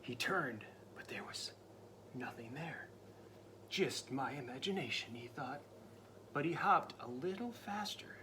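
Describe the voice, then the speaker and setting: A man reading a picture book aloud to a child, dramatic and playful, dropping into spooky whispers and jumping to loud cries. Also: medium pitch, expressive, a little slow, background noise. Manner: playful asides to the listening child. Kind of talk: storybook read-aloud.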